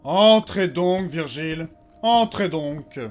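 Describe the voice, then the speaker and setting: An actor playing a calm, quietly menacing master in a fantasy audio drama, measured and falsely reassuring. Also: male voice, fairly high pitch, faint music behind. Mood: composed.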